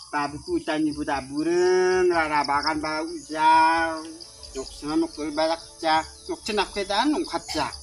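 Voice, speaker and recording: A man's voice: fairly high pitch, lively intonation, unhurried, creaky, background noise. A young man in a casual acted comedy skit, recorded outdoors by a camera a few steps away, answering with frequent murmurs. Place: outdoors in a village yard. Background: background music.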